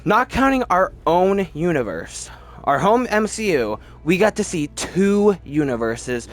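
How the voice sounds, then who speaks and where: Animated, higher-pitched man giving movie opinions. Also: measured pace, soft background music. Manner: rambling, animated, often repeats words for emphasis. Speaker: film ranking video host. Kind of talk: casual opinionated movie commentary.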